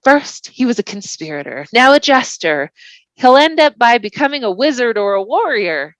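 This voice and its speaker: A woman voicing a gentle, wry male hobbit traveler with a mild manner, in a storybook. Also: on the high side, sing-song, moderate pace, dry recording, voice pushed up, low-quality recording. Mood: wry, frank.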